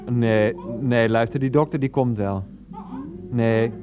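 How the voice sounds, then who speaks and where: A man's voice: medium pitch, steady tone, slow, narrow, tinny audio, natural voice. A man playing a flustered adult son who stammers and keeps getting exasperated with his mother on the phone, in a 1990s radio play. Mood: bewildered. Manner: stammering, exasperated, bursts into laughter. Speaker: character in a radio drama.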